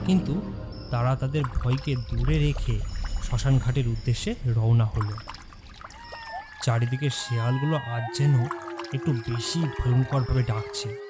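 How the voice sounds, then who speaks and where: A man narrating a horror story in a dramatic, scripted style. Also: mid-range voice. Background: music.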